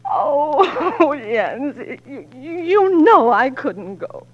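An actress playing a strong-willed, long-suffering frontier wife and editor in 1940s radio drama style, emotional and emphatic. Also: female voice, high voice, big pitch swings, extremely slow, muffled recording, exaggerated voice. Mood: tender. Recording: old 1940s radio recording.

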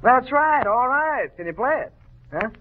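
A man's voice: extremely high pitch, animated, measured pace. A man hosting an old-time radio quiz show with jovial, wordy, mock-formal banter. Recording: tinny vintage radio broadcast.

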